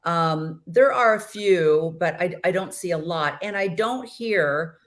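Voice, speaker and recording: A woman's voice: on the low side, animated, takes it slowly. A middle-aged woman hosting a casual interview over a video call, chatty and rambling. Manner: chatty, laughs easily, rambling. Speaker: host.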